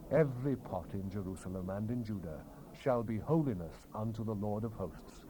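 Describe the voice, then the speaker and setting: A man's voice: medium pitch, warm tone. A man giving a solemn, declamatory dramatized reading of the Bible as narrator. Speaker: scripture narrator. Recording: noisy 1970s cassette rip.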